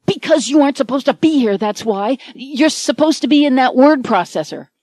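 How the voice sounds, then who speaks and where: A woman's voice: high-pitched, lively intonation, moderate pace, darkish tone, no room sound, voice pushed up. A hungover male writer, flustered and exasperated, grumbling his way through a baffling morning, as a woman narrator puts him on. The sound is dry and close.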